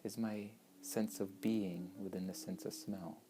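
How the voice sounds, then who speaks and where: A woman leading a guided meditation in a measured, instructing manner, with long pauses between short phrases. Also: very deep voice, fairly even intonation, background noise. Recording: voice over meditation music with flute.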